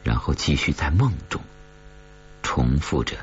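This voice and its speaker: A man with an extremely low voice narrates a horror audiobook. His delivery is dramatic storytelling, read from a script. The recording is dull, with a faint hum underneath. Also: sing-song, measured pace.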